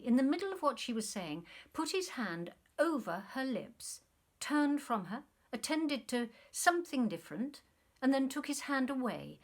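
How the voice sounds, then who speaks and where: A woman actor reading a short story as literary narration, in an expressive, measured storytelling manner. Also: on the high side.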